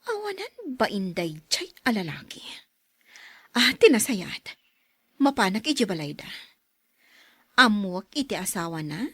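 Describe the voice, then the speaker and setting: A woman's voice: mid-range voice, very animated, unhurried, pauses often, fairly bright tone, slightly rough, no room sound, heavily acted. A woman playing a demanding, scheming mistress in an Ilocano radio drama. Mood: bitter, scheming. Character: clingy, scheming mistress, young to middle-aged, demanding.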